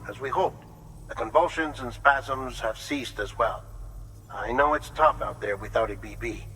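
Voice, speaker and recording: An actor playing a measured, encouraging medical colleague in a video game, articulate and reassuring as he briefs. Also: medium pitch, bright, forceful tone, slight background noise, close to an everyday voice, poor audio. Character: measured, encouraging male medical colleague giving mission updates.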